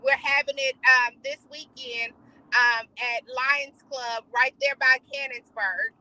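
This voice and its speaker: A woman's voice: high-pitched, fairly even intonation, takes it slowly, sharp tone, low-quality recording. A woman co-host in casual motivational chat, speaking emphatically and repeating phrases for effect. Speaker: talk show co-host.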